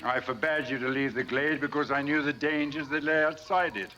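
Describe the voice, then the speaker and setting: An actor playing a superior, disdainful godlike alien in television science fiction, lecturing pompously and precisely. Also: male voice, fairly high pitch, fairly even intonation, fairly quick, a crowd in the background, lightly acted. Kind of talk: 1970s TV science fiction acting. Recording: dull, slightly noisy TV playback.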